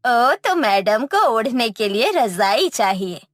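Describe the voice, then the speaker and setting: A woman's voice: on the high side, sing-song, slow pace, dry recording, studio quality, full character voice. A woman voicing a greedy, cruel woman who taunts her poor in-law in a Hindi cartoon story. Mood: threatening. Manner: scornful, taunting.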